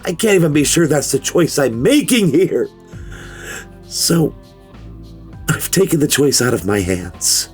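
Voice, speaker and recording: A man playing a weary, fearful middle-aged father, giving a grave, measured first-person confession in a horror story narration, with a low, gravelly voice. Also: sing-song, a little mellow, soft background music. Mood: anguished, resolute.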